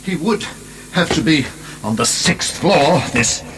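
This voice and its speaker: A fussy, pompous older governor of a prison in a radio drama. His voice is slightly high and hesitant, over a faint hiss. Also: expressive, a little slow, a bit bright, low-quality recording. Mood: irritable.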